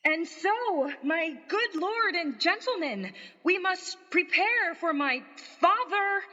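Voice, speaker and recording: An actress playing a sweet, breezy young ingenue of the stage in a radio play. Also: female voice, very high-pitched, expressive, slow pace, bright, forceful tone, heavily acted. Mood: stagey, stalling. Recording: reverberant hall, dull band-limited sound.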